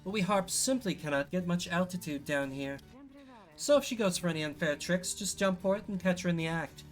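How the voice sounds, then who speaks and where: A man's voice: high voice, brisk, soft background music, voice pushed up, lightly acted. Man putting on a sly, flirty harpy woman. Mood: confident. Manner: teasing, saying darling.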